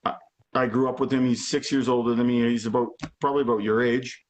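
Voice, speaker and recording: A man's voice: medium pitch, fairly even intonation, fast talker. Rambling man in his forties. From Alberta, Canada. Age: 40s. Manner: rambling, full of "you know" and "like". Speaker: guest drummer. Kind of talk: casual chat. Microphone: video call.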